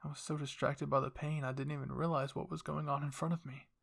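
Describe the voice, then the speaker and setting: Husky, creaky man narrating ASMR fiction. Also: on the high side, flat delivery, quick pace, fairly bright tone. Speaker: narrator and roleplay performer. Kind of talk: first-person ASMR story narration.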